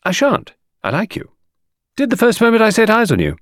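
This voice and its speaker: A man doing a pert, cheeky girl of about seventeen, talking in a raised, bouncing voice full of teasing swings. She chatters on theatrically and loves to shock, pitched well above his own speaking voice, in a dry, close storybook reading. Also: huge pitch swings, brisk, a bit bright, no room sound, full character voice. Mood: chatty. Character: impudent cheeky young woman, teenage actress.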